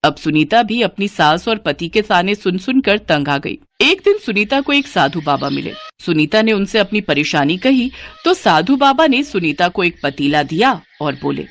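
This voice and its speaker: A woman's voice: fairly low pitch, big pitch swings, normal speed, dry recording. A woman narrating a scripted children's story as a storyteller.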